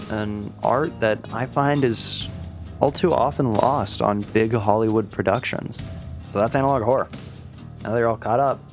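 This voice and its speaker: A man narrating an informal scripted video essay in a conversational way, with self-aware asides. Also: lowish voice. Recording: loud music under the voice. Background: loud music.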